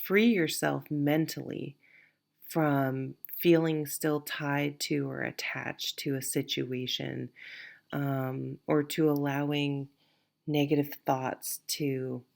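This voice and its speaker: A woman's voice: a bass voice, fairly even intonation, takes it slowly, vocal fry. A woman giving a casual tarot reading, a bit nasal and sniffly from allergies, rambling and reassuring. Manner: rambling, reassuring, many restarts and fillers. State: sniffly, stuffed-up. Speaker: tarot reader.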